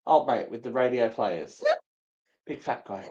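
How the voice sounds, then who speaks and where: A woman's voice: extremely low pitch, measured pace, mellow, dull audio. A woman co-hosting a live read, chatting casually with dry, teasing remarks. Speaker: co-host and reader. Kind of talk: casual banter.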